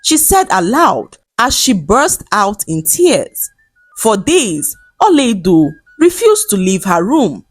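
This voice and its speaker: A woman reading a scripted folktale as a storyteller, in a dramatic and expressive manner. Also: medium pitch, slow, breathy edge, no echo, soft background music. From Nigeria.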